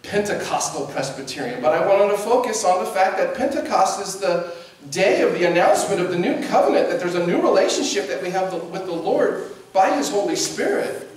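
Animated preacher in an echoey church. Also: male voice, high-pitched, a little fast, far from the mic. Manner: animated, conversational preaching with gestures. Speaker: pastor. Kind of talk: sermon. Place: church sanctuary at a pulpit. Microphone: distant camera mic.

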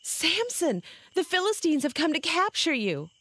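A pouty young temptress in a bible audio drama, high voice, swoops all over, wheedling. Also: female voice, big pitch swings, a bit bright, no room sound, full character voice. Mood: urgent cry. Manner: slow, drawn-out, wheedling delivery.

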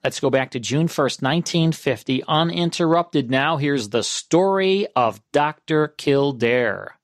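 A man's voice: on the high side, animated, a little slow, no echo, studio quality. A man hosting a radio show, giving a scripted introduction.

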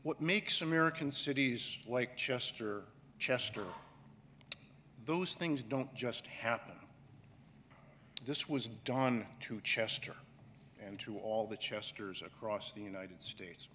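A middle-aged man delivers a keynote from a podium microphone, measured and emphatic, leaning on pauses. His unhurried delivery rings out through a large hall with a long reverb tail. Faint room ambience sits underneath. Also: medium pitch, sharp tone, a little hiss or hum. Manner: slow, deliberate and emphatic, with pauses and gestures. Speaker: conference director giving a keynote. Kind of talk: keynote speech. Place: large auditorium stage, indoors.

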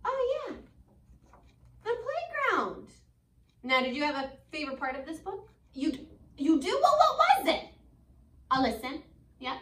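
A woman in her twenties doing an expressive, sing-song read-aloud for young children, as a teacher who asks questions and waits for answers. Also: high-pitched, unhurried, long pauses. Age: 20s. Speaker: preschool teacher. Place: indoor school classroom. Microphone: camera a few metres away. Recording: echoey room, distant microphone.

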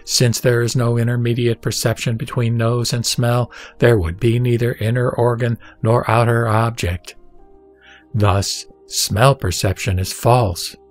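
A man reading a Buddhist sutra as a scripted narration, in a measured, formal, didactic manner. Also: mid-range voice, flat delivery. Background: background music.

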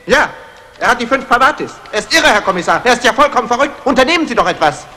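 Shrill, agitated gangster. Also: male voice, fast, big-room sound, exaggerated voice. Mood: agitated, accusing. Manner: excited, exclaiming. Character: agitated, panicky male gang member crying betrayal. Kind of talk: 1960s German radio crime drama acting. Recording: noisy old radio play recording.

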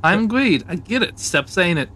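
A man's voice: high voice, lively intonation, moderate pace, pushed and bright. A man voicing a dramatic, scatterbrained embodiment of lust in an improvised comedy game dub, rambling and stammering. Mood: pleading. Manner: rambling, stammering, melodramatic wailing.

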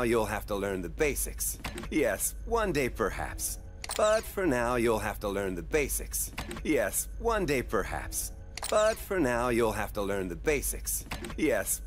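An actor playing a friendly explorer father on a spaceship in an animated film, with an encouraging, instructive manner. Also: medium pitch. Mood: patient, instructive. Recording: music behind the voice.

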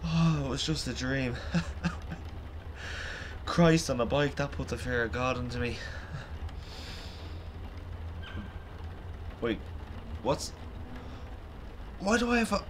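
A man's voice: medium pitch, lively intonation, measured pace, pauses often, slight background noise, natural voice. A man playing an ordinary, exasperated dead man in a radio drama, casual and sardonic. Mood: shaken, exasperated.

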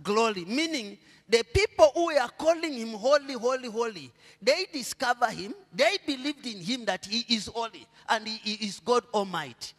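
A middle-aged Zambian pastor preaches in a high, lively voice, asking the congregation to fill in his words. He drops to low murmurs, then rises to loud, stretched-out proclamations. His handheld mic carries a long reverb from the church hall. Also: moderate pace, few pauses. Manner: preaching with call-and-response questions to the congregation. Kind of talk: sermon. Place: church stage, indoors.

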